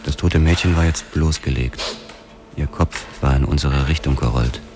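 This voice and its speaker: A grim detective narrator, very deep. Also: male voice, moderate pace. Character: young male detective narrating, grim and tense. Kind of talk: radio drama narration.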